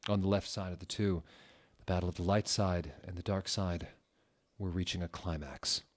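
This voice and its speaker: Deep-voiced man, mock-dramatic reader. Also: moderate pace. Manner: theatrical, exaggerated deadpan with sudden shouted outbursts. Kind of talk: mock-dramatic reading of a fan fiction.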